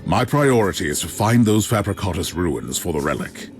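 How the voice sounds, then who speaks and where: A man playing a resolute, commanding inquisitor in a video game, measured and dryly confident. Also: sits low, animated, over music. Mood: grave, resolute. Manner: measured, authoritative. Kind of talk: video game cutscene acting.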